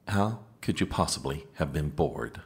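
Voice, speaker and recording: An actor playing a long-suffering, exasperated political aide with a dry, weary manner in a comedic audio drama. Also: male voice, a bass voice, lively intonation, measured pace, gravelly, exaggerated voice.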